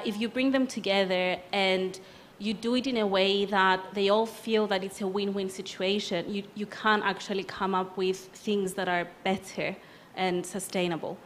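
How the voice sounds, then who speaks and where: A woman with a Cypriot Greek accent giving closing remarks on a conference panel, talking loosely and quickly with fillers as she thinks aloud. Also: medium pitch, slight background noise. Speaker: panelist, business consultant. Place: conference hall.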